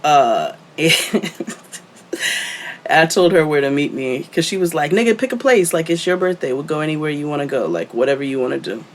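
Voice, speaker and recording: Animated New man, slightly high voice. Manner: animated storytelling, quick banter. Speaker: podcast co-host. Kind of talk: casual chat. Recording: faint background noise.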